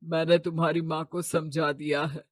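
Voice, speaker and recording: A man's voice: fairly high pitch, level tone, normal speed, dry recording, lightly acted. A man voicing a stern, status-proud middle-aged father in a cartoon moral story, domineering and scornful. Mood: commanding.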